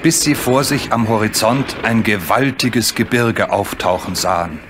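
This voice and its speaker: A man's voice: fairly low pitch, a little slow. A man narrating a scripted children's story as its storyteller. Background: music.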